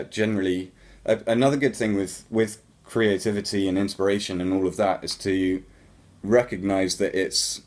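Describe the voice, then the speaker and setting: Young guy with a deep low voice, laid back q&a chat, lots of ums and restarts. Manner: relaxed, rambling, many ums and restarts. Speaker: musician answering viewer questions. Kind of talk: casual Q&A chat.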